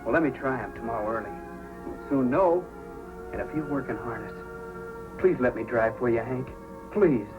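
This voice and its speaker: A man's voice: medium pitch, lively intonation, normal speed, pauses often, close to an everyday voice. A man playing eager young lad, muffled radio sound. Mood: pleading. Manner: earnest, pleading. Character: eager, earnest young male helper. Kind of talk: old-time radio drama acting. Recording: muffled old radio recording with music.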